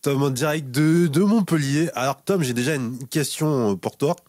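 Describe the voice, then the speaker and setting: A man's voice: fairly high pitch, moderate pace, a little mellow, dry recording. Casual male podcast host, dry close sound.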